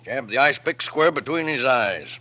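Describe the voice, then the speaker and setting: An actor playing a plain-spoken, wry sheriff in a 1940s radio drama, on a muffled old broadcast. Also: male voice, medium pitch, animated, moderate pace, natural voice. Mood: wry, puzzled. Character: plain-spoken, puzzled small-town sheriff, wry and sympathetic.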